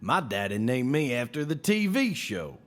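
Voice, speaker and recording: A man's voice: mid-range voice, very animated, moderate pace, natural voice. An actor playing a brash, sly, folksy salesman with an American Southern accent and needling, colourful idioms in an audio drama. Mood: cocky, mocking. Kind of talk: scripted audio drama acting.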